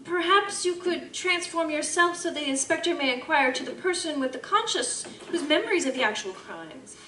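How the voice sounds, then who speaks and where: A woman's voice: high-pitched, animated, moderate pace, bright, forceful tone. A woman playing a courteous, reasoning period character in a live audio drama, speaking in formal, polite phrases. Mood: reasoning, persuasive. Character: courteous, reasoning woman questioning and counselling a troubled scientist. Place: live convention performance in a room. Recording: roomy, noisy live stage recording, distant mic.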